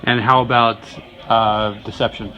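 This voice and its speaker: A man's voice: on the low side, level tone, a little slow, dull audio. A man conducting a casual interview, asking questions and offering short encouraging affirmations. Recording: busy room with people chattering.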